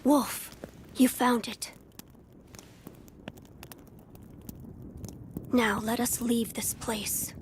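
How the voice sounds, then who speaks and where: A woman playing a young noble boy lord, calm, gentle and formal, in a samurai game drama. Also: a little high, takes it slowly. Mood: commanding. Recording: roomy echo with ambient noise.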